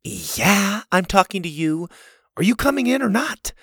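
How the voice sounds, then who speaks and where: Man voicing a bold little girl, teasing and cocky, pitched way up. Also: expressive, a little slow, fairly bright tone, husky, no echo, studio quality, heavily acted. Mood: cheeky, teasing. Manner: cheeky and high-pitched.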